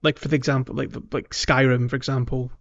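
A man's voice: medium pitch, flat delivery, fast talker, gravelly, highs cut off. A man co-hosting a gaming podcast, chatting casually about a video game. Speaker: podcast co-host.

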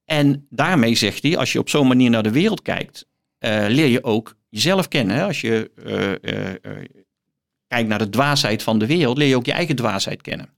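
Middle-aged man, medium pitch, professor in an interview, explanatory, thoughtful, halting, emphatic. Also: lively intonation, gravelly. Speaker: guest, professor of philosophy. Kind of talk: explanatory interview talk with some reading aloud.

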